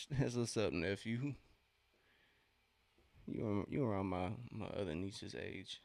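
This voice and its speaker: A man's voice: fairly low pitch, slow, gravelly, a little mellow, no room sound. A thirty-year-old man hosting a sports watch-along stream, chatting casually in a laid-back, slangy way between bits of commentary. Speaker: livestream watch-along host.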